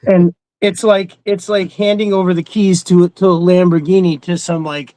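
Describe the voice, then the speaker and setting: A man's voice: high voice, little pitch movement, moderate pace, mellow, rough recording. A man chatting casually and emphatically as a podcast co-host, swearing freely and rambling through his opinions. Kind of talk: casual opinionated fan chat.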